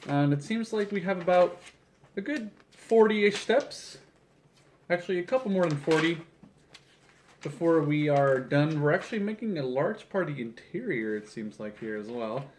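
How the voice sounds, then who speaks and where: A man's voice: on the high side, expressive, moderate pace, darkish tone, slight background noise. A man in his early twenties chatting casually in a rambling vlog style, informal and often interrupting himself with jokey asides. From Canada. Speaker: video creator building a toy model. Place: small room at home, seated at a table indoors. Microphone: camera on tripod about a metre or two away.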